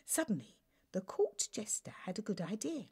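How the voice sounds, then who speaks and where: A woman reading a children's bedtime story aloud in an expressive, theatrical manner. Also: medium pitch, normal speed, husky. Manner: animated, theatrical delivery.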